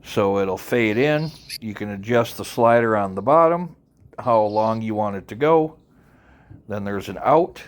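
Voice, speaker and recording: A man narrating an unscripted software tutorial, informally walking the listener through it step by step. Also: fairly low pitch, animated, unhurried. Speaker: tutorial presenter.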